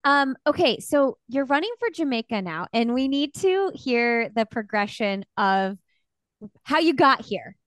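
A woman hosting a podcast asks her questions briskly and encouragingly, in a fairly high voice. Her voice sounds close and dry. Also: big pitch swings, a bit bright, no echo. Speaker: podcast host. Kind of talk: interview questions.

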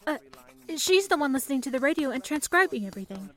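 An actress playing a polite, earnest young telephone operator in an old-time radio drama, soothing and composed in manner. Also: female voice, high voice, very animated, quick pace, a bit bright. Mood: attentive. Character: young 1930s telephone operator, calm, earnest woman saving for college.

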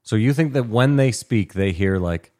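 Man, deep low voice, podcast co-host, dry teasing, argumentative. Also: lively intonation, normal speed, darkish tone. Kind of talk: casual chat.

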